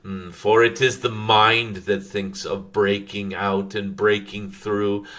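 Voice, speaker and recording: Man channeling a slow, deep, wise dragon spirit. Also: flat delivery, bright, forceful tone. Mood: serene, contemplative. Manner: slow, halting, frequent contemplative mm hums, formal archaic phrasing. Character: wise, serene dragon spirit giving guidance.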